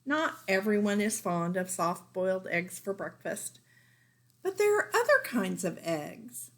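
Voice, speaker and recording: A woman voicing a genial, mild storybook father with a measured, gently questioning manner. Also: medium pitch, big pitch swings. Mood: mild, patient.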